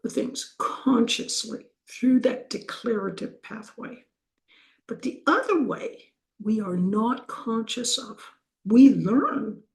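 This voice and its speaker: A woman's voice: fairly high pitch, gravelly, a little mellow. An older woman giving a conversational university lecture with slides, sometimes restarting and drawing out key words for emphasis. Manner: conversational, self-correcting, slows down to stress key terms. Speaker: professor giving a talk.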